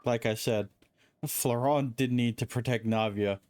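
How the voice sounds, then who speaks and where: A streamer giving casual, wry, halting live commentary over a video game. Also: medium pitch, fairly even intonation, darkish tone, no room sound.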